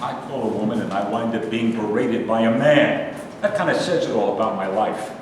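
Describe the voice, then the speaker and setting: A man's voice: a little fast, natural voice. A man acting in a staged play reading as a neurotic, aggrieved complainer, indignant and emphatic. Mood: bitter self-pity. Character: neurotic, aggrieved man who rants and grumbles. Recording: echoey hall from far off, rumbly noise.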